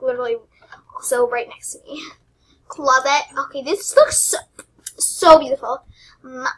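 A young woman hosting her own game channel, chatting casually and rambling with giggles while she plays, her voice squeaky and often dropping to a mutter. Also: fairly bright tone. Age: teens. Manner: rambling, giggly, self-deprecating. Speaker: gaming channel host. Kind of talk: casual gameplay commentary.

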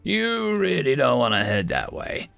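A man voicing a gruff, weary, crude ape-man god in a narrated fantasy story, grumbling and sardonic with a growling edge. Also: mid-range voice, huge pitch swings, a little slow, a bit bright, dry recording, soft background music, pitched above the performer's own voice, exaggerated voice.

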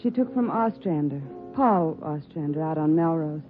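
Hesitant piano store clerk. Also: female voice, deep voice, animated, moderate pace. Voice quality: hesitant, wary. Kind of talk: 1940s radio acting. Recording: tinny 1940s radio with music.

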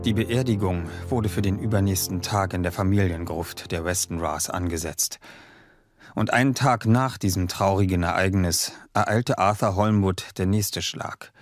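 Grave, deep, husky young doctor. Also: male voice, no echo, clean studio sound. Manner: grave, measured storytelling. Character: earnest, troubled young male doctor narrating horror. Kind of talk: dramatic radio play narration.

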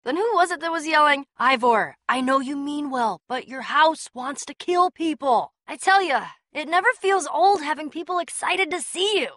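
A woman's voice: sits high, expressive, normal speed, hardly any pauses, a bit bright, dry recording, clean studio sound. An actress playing a bold, sardonic young woman adventurer in a video game, with a wry, punchy, casual delivery. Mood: wry, friendly.

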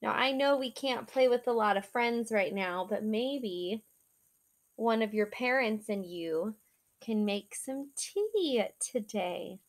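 A young woman reading a children's picture book aloud in an animated, drawn-out, singsong way, like a teacher talking to small children. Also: on the high side. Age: young adult. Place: small living room, seated in an armchair indoors.

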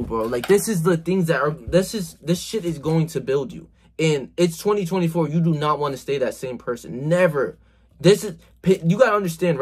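A man's voice: fairly high pitch, breathy edge. A man about to turn twenty-one giving a slangy, emphatic motivational talk to camera, swearing a lot and saying "bro," recorded on a phone camera in a small bedroom. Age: 20s. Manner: gestures a lot while talking. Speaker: self-improvement vlogger.

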